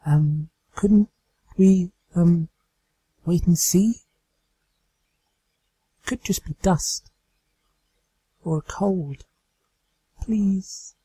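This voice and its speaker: Timid storybook pegasus mare, acted by a man: soft, breathy, high, hesitant, slow. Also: no room sound, full character voice, low-quality recording. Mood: pleading. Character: timid, gentle young female pegasus pony.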